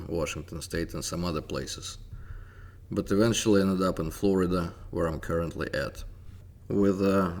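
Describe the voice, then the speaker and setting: A middle-aged man with a Russian accent, talking through his own history research in a measured, deliberate way with "uh" pauses. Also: low-pitched. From Soviet Union. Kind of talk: narrated audio commentary.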